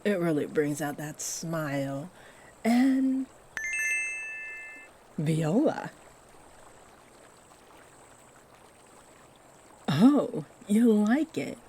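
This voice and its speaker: A woman voicing a kindly fairy in a fantasy roleplay, gently encouraging and fussing over the listener with sweet endearments. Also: lowish voice, animated, very slow, long pauses, slight background noise. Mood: delighted, encouraging. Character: kindly, doting fairy who gives magical makeovers. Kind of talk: fantasy roleplay audio drama, addressing the listener.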